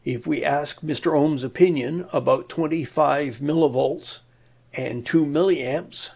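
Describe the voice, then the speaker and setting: A man narrates a technical tutorial at a very unhurried pace. His voice has a noticeable gravelly fry, and he explains methodically in plain words, with a touch of dry humour. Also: fairly high pitch, a little mellow. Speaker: presenter of an electronics tutorial.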